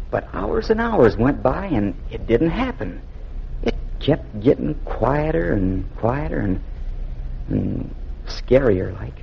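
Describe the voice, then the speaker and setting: An actor playing an earnest, humble young Union soldier with an American accent and folksy, hesitant speech in a 1940s-style radio drama. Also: male voice, mid-range voice, gravelly, breathy edge. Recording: muffled old 1940s radio recording.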